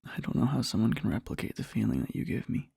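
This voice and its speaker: A man playing an adoring boyfriend in a soft ASMR roleplay, murmuring slowly and tenderly to a sleeping partner in a breathy, husky whisper. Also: fairly low pitch, very animated, no room sound, clean studio sound, full character voice. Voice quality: breathy, husky, with creaky fry. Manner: slow, halting, tender murmuring.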